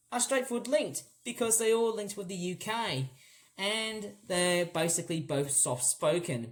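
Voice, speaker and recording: English-born woman, kinda aussie-sounding accent mixed w british, nasal-ish voice, rambly vlog chat w lots of ums. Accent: mixed British and Australian, mainly Australian. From England. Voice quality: slightly shrill and nasal. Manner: rambling, many fillers and restarts, talks while cleaning. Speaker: vlogger.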